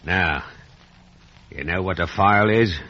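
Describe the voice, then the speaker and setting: An actor playing a menacing, wretched escaped convict in a radio drama, with rough, threatening speech. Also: male voice, deep voice, measured pace, sharp tone. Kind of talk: 1950s radio drama acting. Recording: muffled, noisy vintage radio recording.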